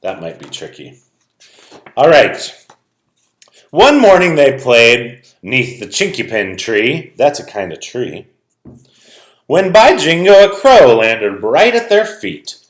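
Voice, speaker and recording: A man's voice: medium pitch, huge pitch swings, takes it slowly, pauses often. A man speaking as a music teacher, informally explaining things to young students in a video lesson and read-aloud. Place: indoor room at home. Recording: roomy indoor echo.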